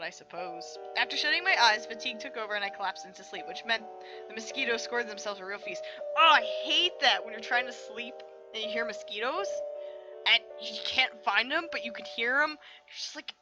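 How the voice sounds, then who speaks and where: A woman with a fairly high voice narrates a visual novel as she previews it. She reads expressively, then drops into casual, chatty reactions, with music playing clearly behind her. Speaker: host of a game preview, reading aloud. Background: game music.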